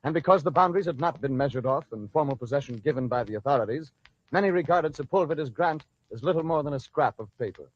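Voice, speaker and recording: A man's voice: on the high side, expressive, quick pace. A man delivering scripted narration for an old-time radio drama as its storyteller. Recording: dull, noisy old radio recording.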